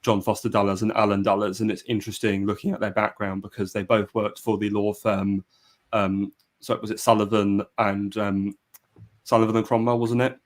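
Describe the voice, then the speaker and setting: A man with a low voice hosts a live history discussion. He talks in an even, flat line and often stops for fillers and false starts. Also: moderate pace. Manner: hesitant, many fillers and restarts. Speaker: podcast host. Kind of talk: casual live-stream discussion.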